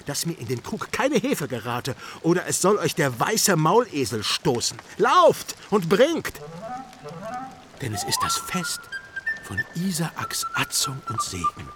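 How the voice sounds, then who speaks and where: Casting: swaggering rustic hunter for radio play, theatrical, high-set and hugely animated, slow drawn-out calls over noisy ambience. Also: male voice, exaggerated voice. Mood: triumphant bragging. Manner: theatrical crying out of mocking orders. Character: boastful, rough hunter son, loudly playing to onlookers. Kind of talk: radio drama acting.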